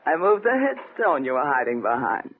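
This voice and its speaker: A woman's voice: sits low, big pitch swings, moderate pace, a little hiss or hum. An actress playing a mysterious, fatalistic woman from a stage illusion act, secretly scheming, in dramatic 1940s radio thriller style. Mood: coolly triumphant. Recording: tinny, band-limited old radio recording.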